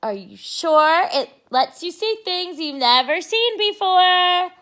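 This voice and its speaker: A woman voicing an anxious schoolboy with a sly streak from a children's storybook, in an exaggerated, expressive way. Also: extremely high pitch, slow pace, pitched above the performer's own voice, full character voice. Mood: sly, confident. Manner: exaggerated, expressive read-aloud delivery.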